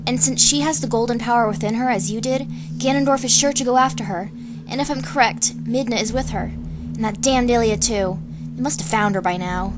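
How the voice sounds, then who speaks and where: A woman's voice: on the high side, fairly quick, background noise, close to an everyday voice. A woman playing an intense, bossy female spirit in an amateur fantasy radio play, speaking insistently. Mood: urgent, explaining. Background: music.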